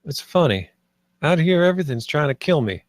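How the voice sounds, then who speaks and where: A man's voice: fairly high pitch, very animated, brisk, no room sound, clean studio sound. A man playing a wry, self-interested space mercenary in a screenplay table read. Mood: wry, reflective.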